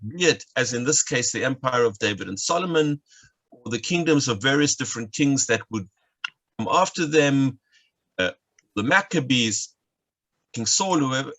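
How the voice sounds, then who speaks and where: A man from South Africa with a South African accent, an educator giving a lecture over a video call, explaining in a brisk way with fillers. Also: fairly high pitch, pushed and bright. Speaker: educator and guide. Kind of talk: online lecture. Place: home, at night.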